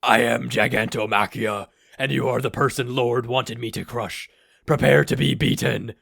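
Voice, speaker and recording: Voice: mid-range voice, steady tone, normal speed, vocal fry, fairly bright tone, breathy edge, dry recording, clean studio sound, heavily acted. A performer voicing a mountain-sized, menacing male giant henchman in dramatic proclamations for a fan fiction audio story.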